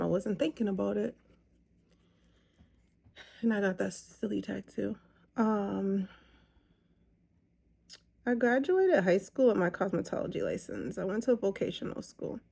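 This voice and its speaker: A woman's voice: mid-range voice, expressive, unhurried, vocal fry, long pauses, warm tone, breathy edge. A woman in her thirties chatting casually as she does her makeup, rambling with lots of ums. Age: 30s. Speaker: makeup vlogger. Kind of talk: casual chat while doing makeup.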